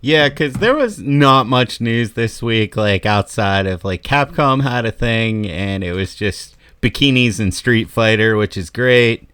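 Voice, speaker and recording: A man's voice: medium pitch, animated, unhurried, few pauses, fairly bright tone, clean tone, no echo. A man hosting a casual gaming podcast, talking in a laid-back, joking way into a studio mic. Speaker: podcast host.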